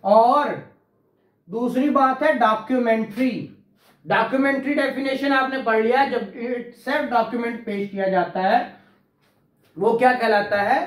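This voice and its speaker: A man's voice: very high voice, steady tone, a little slow, roomy sound, rough recording. A man teaching law to a class, quizzing students, repeating key terms and praising answers. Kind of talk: classroom law lecture with questions.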